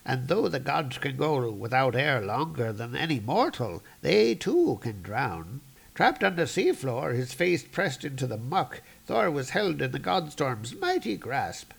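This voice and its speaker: A man reads as an old storyteller of a comic book's godly battles. The telling is grave and literary, with some creak in the voice. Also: mid-range voice, sing-song, unhurried, exaggerated voice. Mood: solemn.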